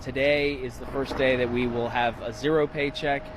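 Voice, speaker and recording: Man, medium pitch, interview answers, noisy outdoor setting with vehicles. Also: level tone, normal speed. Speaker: air traffic controller interviewee. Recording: outdoor ambience with vehicle noise.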